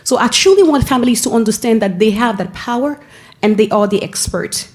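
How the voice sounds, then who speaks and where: A woman from Haiti with a Haitian accent, giving an earnest presentation at a school board meeting, often pausing and restarting her phrases. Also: on the high side. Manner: earnest, with frequent pauses and restarts. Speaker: school family engagement staff member.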